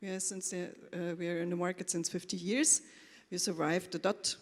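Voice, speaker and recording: A woman telling her story informally and a little haltingly as a panelist, with quoted asides. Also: fairly low pitch, level tone. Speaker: panelist, corporate trainer. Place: conference hall, before an audience. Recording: echoey hall with long reverb.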